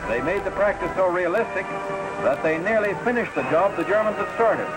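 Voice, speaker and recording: A man's voice: high-pitched, expressive, brisk, rough recording. A man reading scripted 1940s newsreel narration in a formal, patriotic announcer manner, on an old film soundtrack. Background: loud newsreel music.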